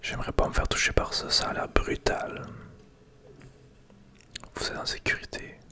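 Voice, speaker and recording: A person with a Québécois French accent whispering casual ASMR gameplay commentary in short remarks, breathy and close to the microphone. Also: normal speed. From Quebec, Canada. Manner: soft, casual, reacting to the game in short remarks. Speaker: gaming ASMR video creator.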